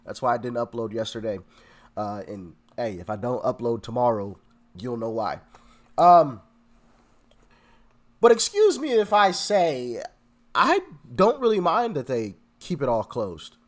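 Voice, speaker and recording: Man, congested, stuffy nose, chatty commentary host, lively pitch. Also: a little slow, long pauses. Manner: chatty, addresses viewers directly. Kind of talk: casual online commentary.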